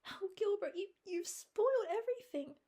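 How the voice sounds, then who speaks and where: A dreamy, emotional young woman, as a woman reader puts her on, talks in a high, breathy voice that often sinks to a whisper. Her husky tone sits well above the reader's own low voice. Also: steady tone, moderate pace, warm tone. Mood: distressed. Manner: breathy, rapturous, at times whispering. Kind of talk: audiobook character voice.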